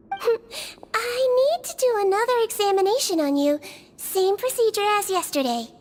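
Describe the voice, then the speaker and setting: A woman's voice: very high-pitched, expressive, a little slow. A woman voicing a small, cute, cheerful nurse creature in a video game, sweet, precise and confident. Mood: sweetly businesslike. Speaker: head nurse. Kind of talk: video game character acting. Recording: music behind the voice.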